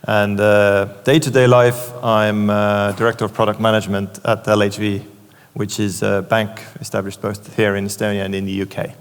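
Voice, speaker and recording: A man's voice: lowish voice, level tone, normal speed, smooth. A man moderating a conference panel, talking loosely with many fillers. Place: conference stage in a large hall. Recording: long hall reverb.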